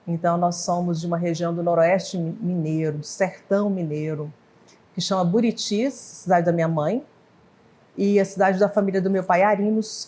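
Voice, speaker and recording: Hesitant woman in her fifties, mellow voice. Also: lowish voice. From Brazil (Goiás / northwest Minas Gerais). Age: 50s. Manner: rambling, hesitant with self-corrections and restarts; laughs lightly at her own slips. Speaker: professor of pharmacy, interviewee. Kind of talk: oral history interview.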